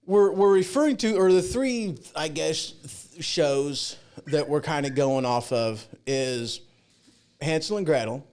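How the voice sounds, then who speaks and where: Male livestream host, a bit high-pitched, loose and informal, chatting off the cuff. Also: unhurried, a little mellow. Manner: rambling, informal. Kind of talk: casual chat. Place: home podcast studio.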